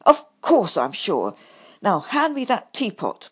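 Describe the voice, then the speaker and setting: A woman's voice: a little high, huge pitch swings, takes it slowly, breathy edge, voice pushed up, heavily acted. A woman voicing a boastful, snappish male rat who calls himself a super rat. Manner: snappish, pompous.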